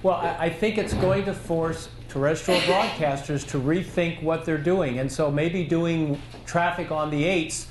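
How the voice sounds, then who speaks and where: A man with a fairly high voice answers questions at a conference, speaking at a measured pace and working through his thoughts with restarts. The sound is roomy, with faint hiss in the background. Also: steady tone. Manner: measured, thinking aloud with restarts. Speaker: conference presenter. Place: conference hall.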